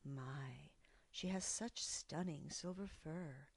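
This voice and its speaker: A gentle mother bear, as a woman storyteller voices her, somewhat lower and quieter than the telling around her. She speaks calmly and slowly, soft and soothing. The recording is dry and close. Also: gravelly, husky, no room sound, full character voice. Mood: musing. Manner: soft, calm and unhurried. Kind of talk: storybook character voice.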